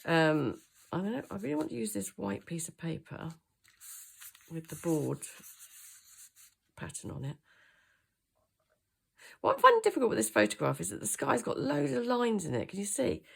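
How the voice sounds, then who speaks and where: A woman's voice: mid-range voice, huge pitch swings, normal speed, vocal fry, pauses often, husky. A woman hosting a papercraft tutorial, sounding throaty from a cold and thinking aloud with frequent hesitations. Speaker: craft channel host. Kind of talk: chatty hands-on craft tutorial.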